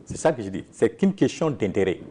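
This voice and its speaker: Man, farmers' leader in an interview, mellow voice, emphatic, faint noise behind. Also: fairly low pitch, sing-song, fairly quick. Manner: emphatic, repetitive, with restarts. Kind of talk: interview answers.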